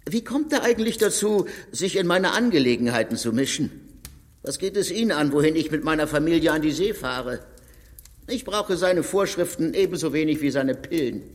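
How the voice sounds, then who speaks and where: An actor playing a blunt, irritable middle-aged gentleman with curt, sardonic speech. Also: on the high side, lively intonation, moderate pace, no echo. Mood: irritated. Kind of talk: radio play acting.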